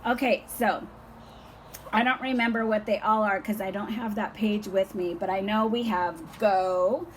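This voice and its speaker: Slow, hoarse older woman teaching outdoors. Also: mid-range voice, steady tone, slight background noise, low-quality recording. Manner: slow, patient, encouraging. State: hoarse, losing her voice. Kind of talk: home lesson for young children.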